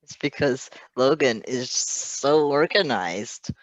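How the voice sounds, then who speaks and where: A woman with a strikingly low voice chats casually. She takes her time with her words, and the sound is dry and close. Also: expressive, takes it slowly, no echo, rough recording. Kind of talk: casual chat during a read-along.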